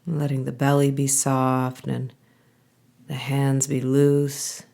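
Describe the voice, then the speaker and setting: A woman guiding a body scan meditation, giving calm, gentle instructions in an unhurried way with pauses. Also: rumbling low, creaky. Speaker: meditation guide.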